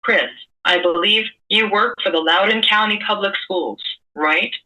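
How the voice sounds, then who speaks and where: A woman's voice coming from a speech device, with even, measured, prepared delivery. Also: mid-range voice, far from the mic, poor audio. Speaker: guest speaking through a speech device. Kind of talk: synthesized speech from a communication device.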